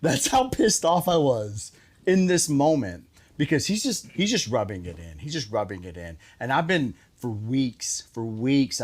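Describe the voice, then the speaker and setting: A man's voice: mid-range voice, breathy edge. A man chatting casually as a show host, telling stories and repeating phrases for emphasis, with some mild swearing. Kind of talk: casual storytelling chat.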